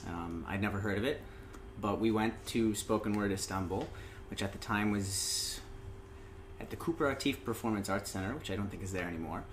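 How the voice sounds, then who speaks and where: A man from Indiana, a guest talking casually about his life, unhurried and dotted with ums. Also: lowish voice, slight background noise. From Indiana, USA. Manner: relaxed storytelling with frequent "um" and "uh". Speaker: interview guest, open mic host. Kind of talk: casual interview.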